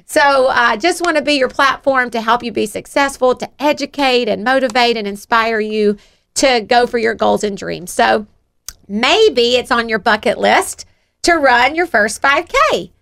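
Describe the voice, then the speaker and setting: A middle-aged woman with a Southern US accent and a high-pitched, creaky voice. She hosts a motivational radio show, chatting and encouraging listeners effusively. Her tone is bright and forward. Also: measured pace. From Georgia, United States. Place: radio studio.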